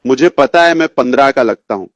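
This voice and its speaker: A man's voice: mid-range voice, animated, a little fast, no echo. A man in his fifties giving an informal motivational update as a company leader, rambling and addressing his listeners directly. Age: 50s. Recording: dull lo-fi audio, dry.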